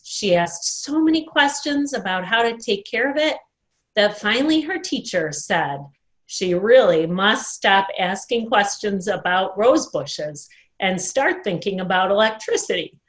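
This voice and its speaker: Woman, mid-pitched, expressive storybook narration, read at home. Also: measured pace. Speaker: storyteller at a worship service. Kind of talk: expressive picture-book read-aloud. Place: dining room at home.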